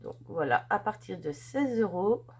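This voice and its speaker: A woman talks through an unboxing in a loose, chatty way. She is stuffed up from a head cold. She gushes over what she shows and fills her pauses with fillers. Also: medium pitch, animated, husky. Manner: chatty, gushing, filler-heavy. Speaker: crafts channel host. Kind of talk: casual unboxing chat.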